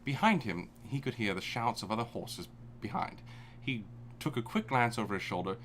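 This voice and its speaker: Animated man reading fanfic aloud. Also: medium pitch, normal speed, slight background noise. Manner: dramatic reading, breaks off laughing and swearing. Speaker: fanfic reader. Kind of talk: comedic live fanfic reading.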